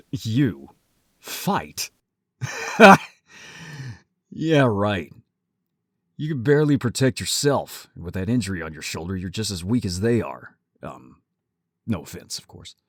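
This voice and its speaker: Man as gruff, deep, husky werewolf brother. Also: sing-song, slow, pauses often, dry recording, clean studio sound, heavily acted. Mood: mocking, frustrated. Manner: gruff, blunt, exasperated. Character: gruff protective werewolf brother, exasperated but caring. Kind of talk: audio story roleplay.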